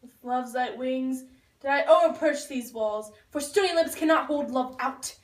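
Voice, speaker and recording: A woman's voice: sits high, normal speed, close to an everyday voice. A woman voicing a lovestruck young Romeo puppet, reciting ardent Shakespearean verse from a script in an amateur school show. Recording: echoey room, far from the mic.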